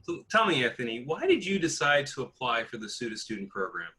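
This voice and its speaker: A man's voice: mid-range voice, sing-song, brisk, pushed and bright. A man interviewing a student in a chummy, complimentary way. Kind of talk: friendly interview.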